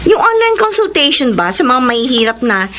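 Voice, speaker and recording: Radio drama role: outspoken young Filipino daughter, high bright-eyed girlish pitch, animated swings, slight noise. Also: brisk. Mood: chatty, candid. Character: spirited, outspoken young daughter, affectionate sister. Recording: faint background noise.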